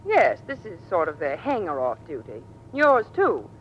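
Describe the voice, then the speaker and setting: An actress playing a poised, teasing American officer's wife in an old-time radio drama. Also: female voice, on the low side, wildly swooping pitch, moderate pace, muffled recording. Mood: coaxing. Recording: noisy vintage radio recording.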